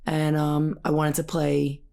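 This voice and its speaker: A woman's voice: low voice, completely flat delivery, slow pace, creaky, dark tone, smooth. An American woman in her thirties chatting casually as a guest in an interview. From Bucks County, Pennsylvania, United States. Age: 30s. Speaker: podcast guest, fitness and gymnastics coach.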